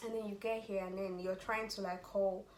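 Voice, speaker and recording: A young Nigerian-accented woman talking in a lively, laughing way, her voice bouncing around an echoey room. Faint ambience hums behind her. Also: mid-range voice, moderate pace, a little hiss or hum. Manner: animated, laughing often, drops into Nigerian Pidgin. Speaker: vlogger, international student. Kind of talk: casual chat.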